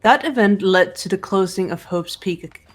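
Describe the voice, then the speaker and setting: A woman voicing a cool, blunt, analytical teenage girl detective, deadpan and terse, in amateur game voice acting. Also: medium pitch, normal speed, soft background music, lightly acted. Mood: cool, businesslike.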